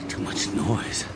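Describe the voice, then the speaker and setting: An actor playing a bewildered, volatile armed outsider who speaks tersely and with agitation in a television drama. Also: male voice, on the low side. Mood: overwhelmed. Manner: agitated, terse, demanding, repeating himself. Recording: loud music behind voice.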